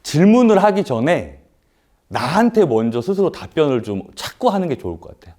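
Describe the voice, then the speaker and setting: A man's voice: on the high side, big pitch swings, a little fast, warm tone. A man with a throat cold gives a live seminar lecture in an indoor room with an audience. He talks casually, asks rhetorical questions and uses many "uh" fillers. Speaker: lecturer at a paid business seminar.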